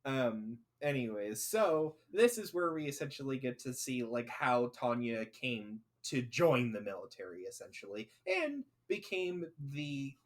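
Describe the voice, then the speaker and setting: A man hosting a casual podcast chat, rambling through ideas with many fillers and restarts. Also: medium pitch. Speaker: podcast host.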